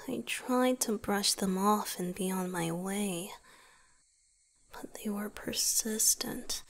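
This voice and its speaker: A woman voicing a weakened, devoted male vampire boyfriend in an ASMR roleplay, speaking in a soft breathy whisper with a hesitant, intimate manner. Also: medium pitch, slow pace. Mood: troubled, protective.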